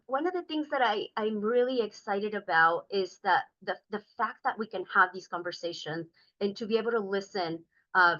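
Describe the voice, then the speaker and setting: A woman's voice: mid-range voice, pushed and bright, muffled recording. A middle-aged woman from Denver, a state transportation commissioner, speaking earnestly at a community meeting with many ums and uhs. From Denver, Colorado. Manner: earnest, talkative, many fillers.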